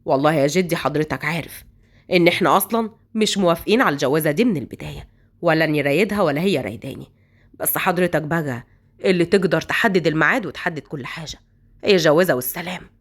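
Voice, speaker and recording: A woman voicing a calm, respectful young man. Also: sits low, very fast, voice pushed down.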